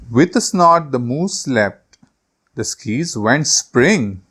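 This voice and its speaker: A man hosting a children's read-aloud, telling a storybook in an animated, theatrical way. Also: medium pitch.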